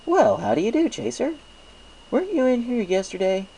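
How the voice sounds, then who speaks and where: A man's voice: extremely high pitch, sing-song, moderate pace, warm tone, pitched above the performer's own voice, heavily acted, rough recording. A man voicing a cheerful, chatty male pony baker with an eager, folksy shopkeeper manner in a cartoon fan story. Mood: cheerful, welcoming.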